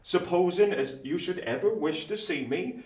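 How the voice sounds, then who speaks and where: Humble blacksmith, high lively pitch, homely earnest manner, radio play, distant mic. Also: male voice, normal speed. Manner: homely rustic turns of phrase, earnest. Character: humble, kind-hearted country blacksmith, grown man. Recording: roomy echo, distant from mic.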